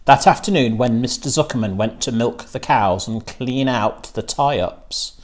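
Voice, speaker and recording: A man reading a children's story aloud in an expressive narrating style. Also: medium pitch, unhurried. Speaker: storybook reader, teacher.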